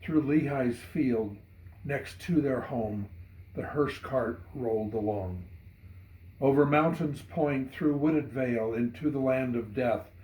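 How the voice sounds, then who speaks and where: A man reading a narrative poem dramatically, in measured and solemn storytelling. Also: mid-range voice, a little mellow. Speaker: poet reading own poem. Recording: roomy echo.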